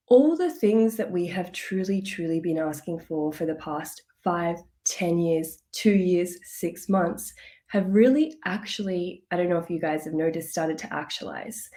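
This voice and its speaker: A woman's voice: on the low side, dark tone. A woman coach chatting casually on a live stream, bubbly and laughing often, a bit congested from a cold. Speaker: business coach.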